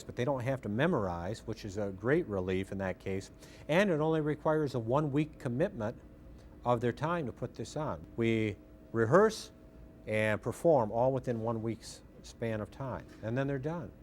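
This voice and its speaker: A man's voice: mid-range voice, animated, moderate pace, darkish tone, slight background noise. A middle-aged man recalling things in an interview as a radio theater founder. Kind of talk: interview recollection.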